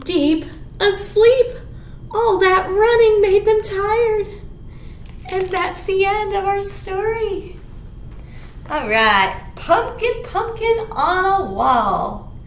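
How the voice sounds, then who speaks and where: Children's storytime reader: woman, very high voice, slow sing-song delivery with big expressive emphasis, echoey noisy recording. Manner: sing-song, exaggerated, with dramatic gasps and simple explanations for little ones. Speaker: children's storytime presenter at a library. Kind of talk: picture-book read-aloud and rhymes for young children. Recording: roomy echo, clear background noise.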